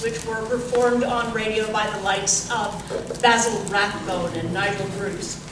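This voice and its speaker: A woman giving a live welcome speech to an audience as director of a theatre company. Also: on the high side. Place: large echoey indoor venue with an audience. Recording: noisy, very echoey hall from far off.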